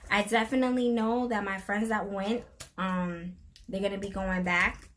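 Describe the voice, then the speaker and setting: A woman's voice: mid-range voice, level tone, moderate pace. A middle-aged woman co-hosting a casual live stream, chatty and laughing often as she tells stories.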